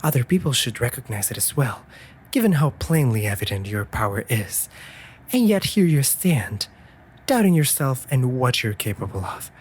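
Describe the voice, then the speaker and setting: A woman's voice: extremely low pitch, very animated, moderate pace, darkish tone, a little hiss or hum. A woman voicing a sassy, exasperated but devoted raven familiar in a fantasy audio drama, scolding theatrically in formal phrasing. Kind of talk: scripted roleplay audio drama addressed to listener.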